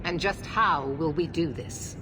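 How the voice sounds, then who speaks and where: A woman's voice: sits low, unhurried, noisy background. A woman playing a stern, impatient knight commander in a video game, giving clipped, imperious orders. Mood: urgent, commanding. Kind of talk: video game cutscene acting.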